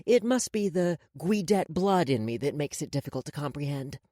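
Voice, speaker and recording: A woman's voice: deep voice, expressive, moderate pace, dark tone, dry recording, studio quality. A woman playing a menacing, mocking, commanding woman in charge, as audiobook dialogue. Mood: cold, mocking.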